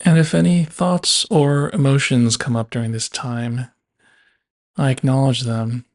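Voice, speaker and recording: A man leads a guided body scan meditation at a very slow pace. His voice sits at a middle pitch and stays flat and even. He leaves long pauses between short, measured phrases.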